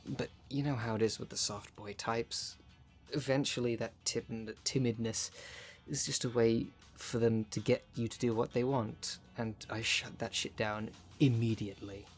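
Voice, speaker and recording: A man's voice: mid-range voice, fairly even intonation, moderate pace, soft background music, close to an everyday voice. A man playing a jaded, sardonic thirty-two-year-old witch in an ASMR roleplay, talking in a dry, sarcastic, conversational way. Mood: wry, dismissive. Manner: dry, sarcastic, sweary, sighing.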